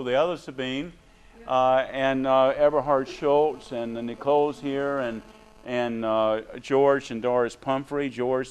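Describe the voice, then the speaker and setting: A man interviewing someone conversationally at a live event, in a halting, supportive way. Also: medium pitch, slight background noise, poor audio.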